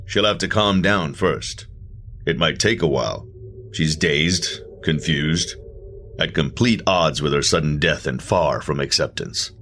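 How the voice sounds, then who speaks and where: A man voicing a cool afterlife agent, very deep. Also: unhurried, a bit bright, a little hiss or hum. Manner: cool, matter-of-fact, faintly mocking.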